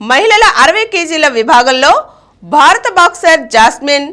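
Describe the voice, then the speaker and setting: A woman's voice: high-pitched, big pitch swings, moderate pace, pushed and bright. A woman reading a scripted news bulletin as the news reader.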